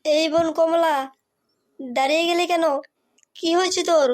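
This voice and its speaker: High-pitched teasing cartoon big sister, a woman acting. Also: steady tone, normal speed. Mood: teasing, coaxing. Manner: coaxing, teasing, advising. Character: bossy, teasing young elder sister.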